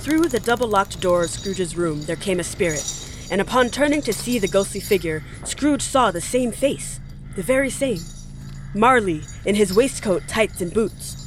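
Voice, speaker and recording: Woman as theatrical storyteller for an audio play, wry n deliberate, mid voice, bit of noise behind. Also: mid-range voice, lively intonation, lightly acted. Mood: wry, suspenseful. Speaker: narrator.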